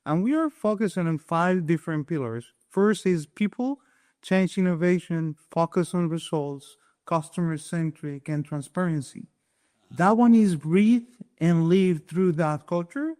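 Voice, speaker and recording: Man from Mexico, 40s, Mexican Spanish accent; eager guest, smooth clear tone. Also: a little high, unhurried, darkish tone. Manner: eager, restarts often, occasional chuckle. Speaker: guest, supply chain executive. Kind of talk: conversational interview answers.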